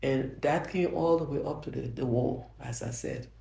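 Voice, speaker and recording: An older woman with a Liberian accent and a soft voice, a judge answering interview questions earnestly, often restarting her sentences. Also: rumbling low, normal speed, gravelly. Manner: earnest, frequent restarts, grows emphatic. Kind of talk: interview answers, reflective storytelling. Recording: roomy echo.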